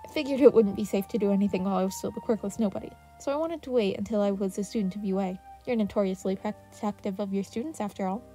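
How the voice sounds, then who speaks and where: A woman's voice: mid-range voice, quick pace, few pauses, mellow, soft background music, close to an everyday voice. A woman voicing a clever, guarded teenage boy in an audio story, explaining in a casual, determined, matter-of-fact way.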